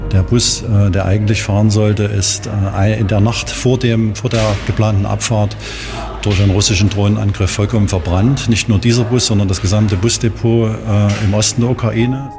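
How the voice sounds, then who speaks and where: A man's voice: fairly low pitch, steady tone, moderate pace, creaky. A middle-aged man, a works council member, answering interview questions in a hesitant way with filler sounds. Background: soft guitar music.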